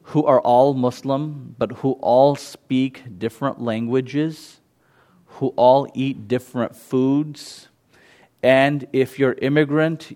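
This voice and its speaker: A man's voice: mid-range voice, darkish tone. Man in a mid-range voice, imam addressing a meditation-center audience, loose and chatty, many ums and restarts. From United States. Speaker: imam giving a talk. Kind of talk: informal talk to an audience.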